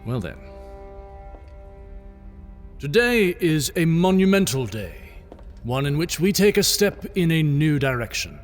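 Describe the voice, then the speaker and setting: A man's voice: fairly high pitch, sing-song, unhurried, pauses often, faint music behind. A man playing a benevolent king who gives a solemn, earnest speech to refugees. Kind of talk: audio drama acting.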